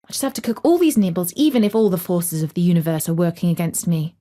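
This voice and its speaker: A woman's voice: on the low side, animated, fast, dark tone, close to an everyday voice. A woman voicing a harried, superstitious mother in a children's story, exclaiming dramatically. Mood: indignant, flustered.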